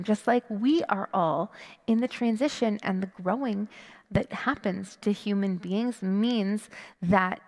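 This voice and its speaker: A woman in her thirties giving a sermon as a rabbi, conversational and expressive, with dramatic pauses and rhetorical questions, in a large sanctuary on a headset mic. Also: medium pitch. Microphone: headset microphone. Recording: long reverberant hall echo.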